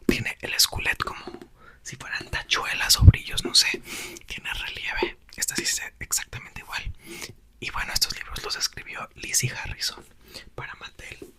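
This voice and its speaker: A young man, whispering softly into a close mic as he chats about a book for ASMR. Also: normal speed. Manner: soft whisper throughout. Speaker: ASMR creator. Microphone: small mic clipped to a doll's hair.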